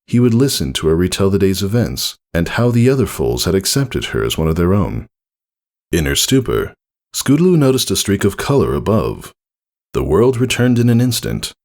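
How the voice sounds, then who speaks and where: A man with a low, gravelly voice narrates a story. He reads in a measured, deliberate manner, with expressive rises and falls that keep the storybook prose moving. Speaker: narrator reading fan fiction.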